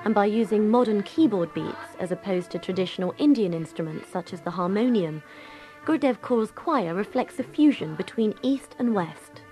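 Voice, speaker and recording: A woman's voice: medium pitch, lively intonation, moderate pace, warm tone, faint music behind. A woman presenting a radio documentary, reading scripted narration.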